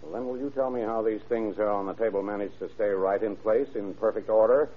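Shrewd detective, acted by a man, clipped, methodical, quick pace, husky voice, vintage radio crime drama, dull recording. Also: on the low side, close to an everyday voice. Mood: suspicious, brisk. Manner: clipped, hard-boiled, methodical. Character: shrewd, skeptical police detective lieutenant.

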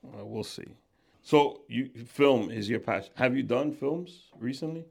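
A man's voice: mid-range voice, a little slow, creaky. A man hosting a podcast in casual, joking chat, often slipping into voices. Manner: playful, joking, breaks into impressions. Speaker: podcast host.

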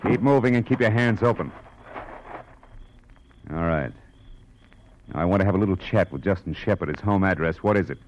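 An American actor playing a tough, wry private detective in a 1940s radio drama, with a clipped, sardonic delivery. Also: male voice, sits low, brisk, pauses often, darkish tone, highs cut off, a little hiss or hum, natural voice. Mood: hard, threatening. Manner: hard-boiled, clipped, sardonic. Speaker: lead actor and first-person narrator. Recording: old radio broadcast with music behind.

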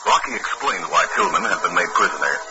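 Deep-voiced male radio Western narrator. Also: brisk. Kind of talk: dramatic scripted radio narration. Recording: muffled, very noisy old radio recording.

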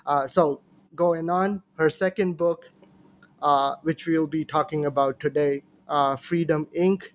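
A man hosting a podcast in a formal, courteous manner, reading a prepared introduction and putting questions to a guest. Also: fairly high pitch. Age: adult, professor. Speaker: podcast host. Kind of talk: scripted introduction and interview hosting. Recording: noticeable background noise.

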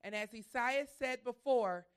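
Woman, scripture reader, slow careful reading, high smooth voice.

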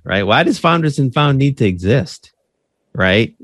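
A man's voice: medium pitch, sing-song, unhurried, a bit bright, breathy edge, no room sound, studio quality. A man talking in a casual interview about running his podcast, earnest and conversational, often checking in with "right?" and restarting his phrases. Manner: frequent restarts and repeated words. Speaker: podcast host interviewed as guest.

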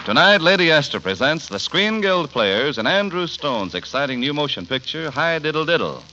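Animated vintage male radio announcer. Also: on the high side, moderate pace. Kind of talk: 1940s radio announcing, scripted. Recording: muffled 1940s radio broadcast, music behind.

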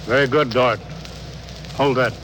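An actor playing a grave, decisive starship captain with an authoritative, deliberate way of talking, in an old radio science fiction drama. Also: male voice, mid-range voice, fairly even intonation, fairly bright tone, close to an everyday voice. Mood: commanding. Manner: authoritative, clipped orders, deliberate. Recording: noisy old radio recording.

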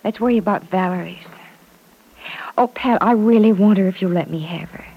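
Patient, tender-hearted leading lady, slightly husky, earnest, vintage radio soap opera style. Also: mid-range voice, brisk, narrow, tinny audio. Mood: pleading. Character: kind, patient, forgiving woman, soap opera heroine.

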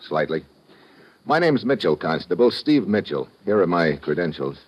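Very deep-voiced hardboiled investigator. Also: male voice, big pitch swings, normal speed, slightly rough, no echo, full character voice. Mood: wry. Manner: clipped, wry tough-guy delivery. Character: hardboiled male investigator narrating his own adventure, cool, wry. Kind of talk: 1940s-50s radio drama narration and acting. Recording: muffled old radio recording, musical accompaniment.

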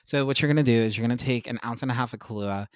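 A young man chats through his solo podcast with a stuffy, congested voice, upbeat and quick to poke fun at himself. His voice sits at an ordinary middle pitch. It is recorded close and dry, with no room sound. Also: clear, resonant tone, no echo. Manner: chatty, upbeat, self-mocking asides. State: congested, clearing throat. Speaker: podcast host. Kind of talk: casual solo podcast chat.

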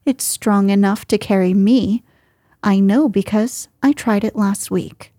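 A mischievous teenage girl teases and jokes good-naturedly, voiced by a woman reader. Her voice stays slow and mellow with a slight breathiness, over faint background music. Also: medium pitch, no echo, clean studio sound, close to an everyday voice. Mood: playful. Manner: playful and teasing.

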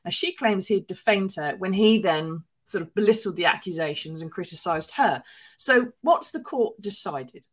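A woman's voice: mid-range voice, animated, normal speed, breathy edge, low-quality recording. Woman news podcast co-host, chatty and wry, medium voice. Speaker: podcast co-host, newspaper columnist. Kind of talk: casual news chat.

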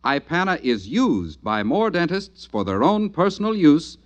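Formal old-timey ad announcer guy, slightly high, big melody, muffled radio sound. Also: big pitch swings, unhurried. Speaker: commercial announcer. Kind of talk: scripted 1940s radio ad read.

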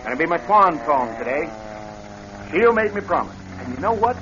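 An actor playing a jokey but anxious skydiving husband in a radio drama, with a casual way of talking. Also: male voice, on the high side, very animated, normal speed. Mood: easygoing, earnest. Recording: muffled, hissy old radio recording.

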